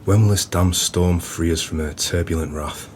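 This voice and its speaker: An actor playing a grumbling, florid-tongued pub landlord in an audio play, with a theatrical manner. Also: male voice, sits low, moderate pace, a little mellow, slight background noise, close to an everyday voice.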